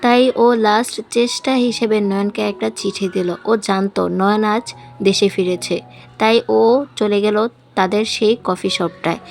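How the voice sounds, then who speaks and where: A woman reading a scripted romantic story as a voice-over storyteller. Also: medium pitch. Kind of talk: scripted romantic story narration with acted dialogue. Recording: music behind the voice. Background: background music.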